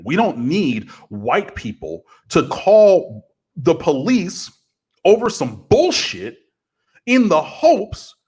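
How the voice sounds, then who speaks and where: A man's voice: high voice, slightly rough. A man with a big voice talking earnestly on a podcast, growing impassioned as he speaks. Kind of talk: casual talk.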